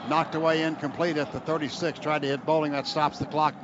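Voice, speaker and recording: American guy calling football on the radio, kinda high voice, folksy, dull muffled sound w loud stadium noise. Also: little pitch movement, a little fast. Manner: folksy exclamations; clipped play-calling phrases. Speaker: radio play-by-play announcer. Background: loud crowd and stadium ambience.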